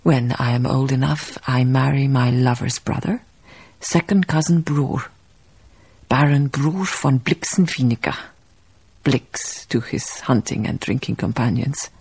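An actress in a radio drama playing a reflective, melancholy Danish baroness narrating her life in a slow, musing, literary manner, husky and breathy. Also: extremely low pitch, darkish tone, heavily acted, low-quality recording.

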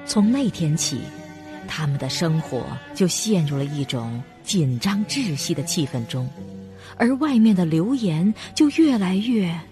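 A woman's voice: lowish voice, very animated, a little slow. An actress in a suspense radio drama playing a vengeful, sinister young woman narrating her family's tragedy. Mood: grim narration. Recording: music behind the voice.

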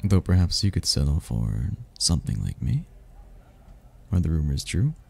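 A man's voice: extremely low pitch, big pitch swings, normal speed, gravelly, a little mellow, breathy edge, heavily acted. A man playing a charming, teasing mysterious stranger at a royal ball in a romantic ASMR roleplay, speaking in a playful, flirtatious way.

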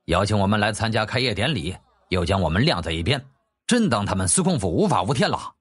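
男声演绎不满的年长朝廷大臣. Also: male voice, lowish voice, very animated, fast talker, fairly bright tone, slightly rough, full character voice. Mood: indignant. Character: grumbling senior court ministers, older men, indignant and stiff. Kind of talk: audiobook character acting.

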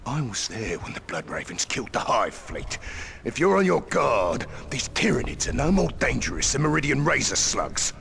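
Gravelly, forceful veteran soldier. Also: male voice, mid-range voice, big pitch swings, normal speed, slight background noise. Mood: stern. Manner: stern, forceful, admonishing. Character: stern, seasoned male veteran soldier in war game.

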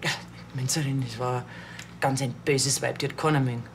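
A woman's voice: extremely low pitch, steady tone, a little fast, a little hiss or hum. An actress playing a blunt, gossipy bakery woman with a Bavarian accent in a television crime comedy.

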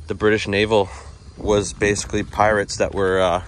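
A man vlogging a casual walking tour outdoors at an old stone fort, chatty and relaxed, thinking aloud with fillers. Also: lowish voice, normal speed, slight background noise. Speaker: travel vlogger.

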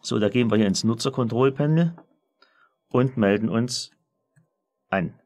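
A man presenting a software screencast tutorial, explaining methodically step by step in a semi-spontaneous walkthrough. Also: medium pitch, unhurried.

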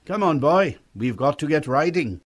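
A man's voice: on the high side, expressive, moderate pace, pitched above the performer's own voice. A man voicing a hearty, direct, helpful backwoods neighbour in an audiobook story. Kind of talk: acted audiobook dialogue.

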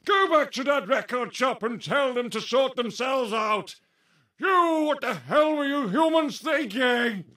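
A man's voice: very high-pitched, measured pace, recorded from a distance, exaggerated voice. A man voicing a bombastic, petulant alien invasion commander in a comic cartoon, theatrical and easily exasperated. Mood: outraged. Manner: theatrical, swinging between flat asides and outbursts. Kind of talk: comic cartoon villain acting.

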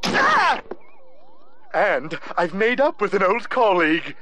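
An actor voicing a grandiose, petty evil mad scientist in a cartoon game, pompous and melodramatic. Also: male voice, high voice, wildly swooping pitch, slow pace, sharp tone, slight background noise, full character voice. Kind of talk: cartoon video game acting.

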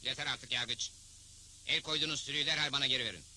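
A man's voice: mid-range voice, steady tone, fast, sharp tone, slight background noise. A man playing a bold, defiant cowboy hero in a dubbed cartoon, assertive and wry. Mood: firm, threatening.

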